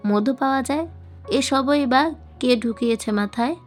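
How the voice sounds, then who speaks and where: A young mother in an audiobook story, played by a woman reader. She is stern and exasperated, scolding sharply. Her voice is fairly high over background music. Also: unhurried.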